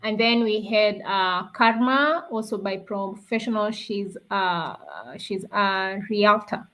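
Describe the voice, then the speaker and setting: A woman's voice: mid-range voice, fairly even intonation, slow, bright, forceful tone. A woman from Kenya co-hosting a live online talk and presenting to viewers. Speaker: co-host of an online business talk show.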